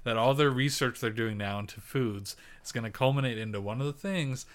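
A man co-hosting a casual home podcast, animated and swearing often. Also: mid-range voice, brisk. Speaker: podcast co-host. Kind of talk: casual chat.

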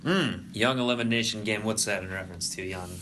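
A man's voice: lowish voice, fast, noisy background. A young man hosting a casual sports podcast, normally laid back but trying to bring extra energy. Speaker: podcast host.

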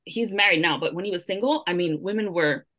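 A woman chatting on a podcast, telling an animated story with a lot of "like" fillers. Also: on the low side, fast talker. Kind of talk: casual discussion.

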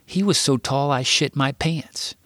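A man's voice: mid-range voice, a little slow, no room sound, clean studio sound, pitched above the performer's own voice. A man voicing an injured teenage boy who patiently reassures his little brother. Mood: reassuring. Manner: gentle, reassuring.

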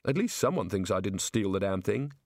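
A man's voice: lowish voice, lively intonation, brisk, darkish tone, dry recording, clean studio sound. A man voicing a hapless, weary fugitive wizard in an audiobook story, mild, hesitant and politely wry. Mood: weakly surprised.

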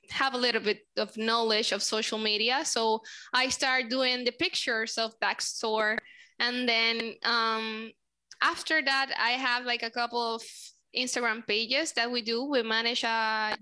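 Bright-voiced Puerto Rican woman. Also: fairly high pitch. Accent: Puerto Rican Spanish. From Puerto Rico. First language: Spanish. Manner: searching for words, frequent fillers. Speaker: social media manager, guest. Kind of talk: casual interview answers.

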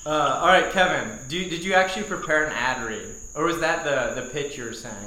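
A man's voice: on the high side, a little fast, noisy background. A young man with an American accent, co-hosting a podcast with casual chat and ad reads in an echoey room. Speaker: podcast co-host. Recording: roomy, echoey sound.

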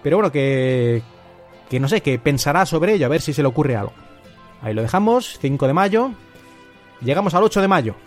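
A male podcast host with an accent from Spain speaks in a somewhat high voice. He narrates alone in a conversational, storytelling style. Faint music plays behind him. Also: animated, a little fast, long pauses. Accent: Spanish from Spain. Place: at home.

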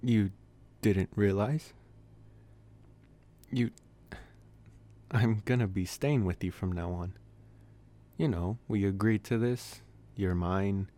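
Low-voiced, intimate young male vampire, played by a man. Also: slow pace, long pauses. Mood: possessive, amused. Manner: soft, intimate, hesitant phrasing with sighs and chuckles. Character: possessive, teasing young male college vampire, gently reassuring. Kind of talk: ASMR boyfriend roleplay.

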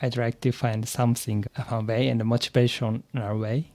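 A man's voice: mid-range voice, little pitch movement, fairly quick, dark tone, dry recording. A man from Japan with a Japanese accent, an English learner answering interview questions hesitantly, with hums of agreement. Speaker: podcast guest, English learner.

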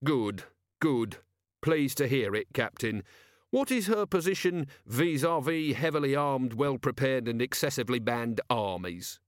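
Man acting tired male watch commander, dry, sardonic, understated, mid pitch, audiobook character. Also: lively intonation, unhurried, dry recording, lightly acted. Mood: wry, resolute.

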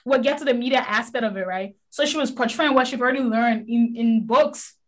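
A woman from Africa speaks with a thick West African accent in a casual discussion. Her voice sits a little high and climbs when she stresses a point. She talks in an animated storytelling way. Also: brisk. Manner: animated storytelling, rising to emphasis.

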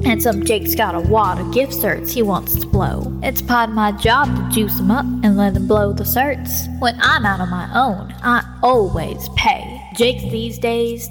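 An actress playing a cheery, bubble-headed party pixie in a noir radio drama, chatty, gossipy and indignant. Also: female voice, medium pitch, lightly acted. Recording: reverberant hall, loud music behind.

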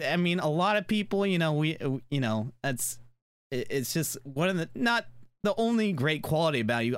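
A man's voice: on the high side, a bit bright, clear, resonant tone, dry recording. A man hosting a casual podcast interview, rambling through his questions with many fillers and restarts. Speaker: podcast host.

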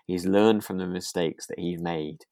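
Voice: deep voice, steady tone, unhurried, dark tone, dry recording, studio quality. A British podcast co-host chatting casually about a radio drama in a hesitant, conversational way.

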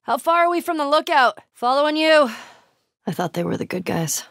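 A woman's voice: sits high, sing-song, normal speed, creaky, fairly bright tone, no room sound, clean studio sound, full character voice. A woman with an American accent acting a tough, foul-mouthed, sardonic young survivor in a video game, in a naturalistic style. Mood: casual, upbeat. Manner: sweary, muttering, sardonic.

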